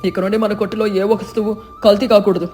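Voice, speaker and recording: A man's voice: high-pitched, flat delivery, fast talker, darkish tone, soft background music, close to an everyday voice. A man playing a greedy village food-stall owner turned repentant, earnest and theatrical, in a moral story. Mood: resolute. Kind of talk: dramatised moral story narration.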